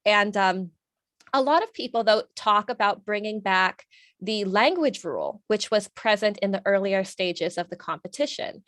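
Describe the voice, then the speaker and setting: A woman's voice: mid-range voice, expressive, normal speed, fairly bright tone, no room sound, clean studio sound. A woman hosting an interview, asking long, thoughtful questions in a chatty way with frequent fillers. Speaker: interviewer host. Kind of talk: casual interview.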